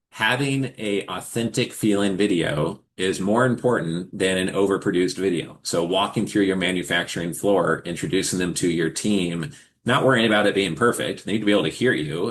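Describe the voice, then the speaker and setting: A man's voice: sits low, fairly bright tone, slight background noise. A man hosting a live forum, answering questions in a casual, conversational advisory style and explaining with examples. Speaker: host of a live forum for investors and founders.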